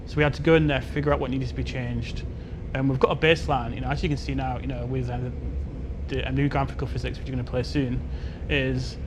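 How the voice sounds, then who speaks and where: Male developer, mid-pitched, quick explanatory interview answers full of verbal padding, clear rumble behind. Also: steady tone. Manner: frequent "you know" and "kind of". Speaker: game developer on a panel.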